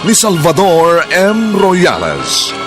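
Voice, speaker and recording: A man's voice: high-pitched, wildly swooping pitch, takes it slowly. A man announcing the opening of a radio drama in a dramatic style. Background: music.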